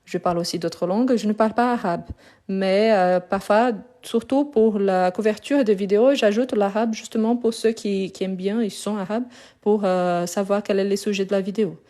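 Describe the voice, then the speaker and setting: A woman's voice: medium pitch, normal speed, mellow. A woman from France and Brazil giving an informal live language lesson as a teacher, explaining and rephrasing her points. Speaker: French teacher hosting a live class. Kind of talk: informal livestream lesson talk.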